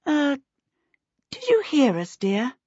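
A woman's voice: high-pitched, very animated, slow, no room sound, lo-fi sound, voice pushed up, heavily acted. A woman voicing a nervous, respectable middle-aged mother in an audiobook. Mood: anxious. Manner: anxious, hushed. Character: anxious, proper baker's wife, middle-aged mother.

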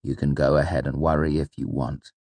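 Male narrator as weary stoic innkeeper, low pitch, dry wit, dismissive, storybook character. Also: steady tone, moderate pace, creaky, no room sound.

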